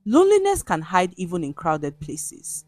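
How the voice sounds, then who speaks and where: A woman voicing a gentle, respectful young female teacher in a storybook tale, speaking softly and quietly. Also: on the low side, huge pitch swings, moderate pace, no room sound, faint music behind. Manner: soft, quiet. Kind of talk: storybook narration dialogue.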